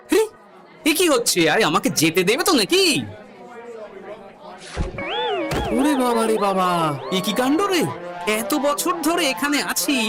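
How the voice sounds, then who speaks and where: A woman voicing a witty, self-important male court jester in a comic cartoon, with theatrical, wry delivery. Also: fairly high pitch. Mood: baffled. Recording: music behind the voice.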